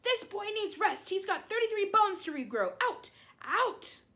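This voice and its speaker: A woman's voice: very high voice, very animated, measured pace, sharp tone, pitched above the performer's own voice, full character voice. A woman voicing a stern, fussy older female school nurse who scolds sharply. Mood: furious.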